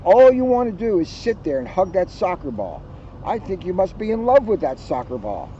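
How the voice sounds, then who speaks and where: A man's voice: high voice, big pitch swings, moderate pace, warm tone. A man voicing an impatient young sister bear from a storybook, emphatic and ready to complain. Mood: irritated. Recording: muffled, outdoors with vehicle noise.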